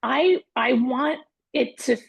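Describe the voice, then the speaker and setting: Raspy, gravelly woman in a candid chat. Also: high-pitched, slow pace, no room sound, clean studio sound. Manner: candid, forthright, speaks her mind. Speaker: acupuncturist and wellness practitioner, guest. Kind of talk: casual interview chat.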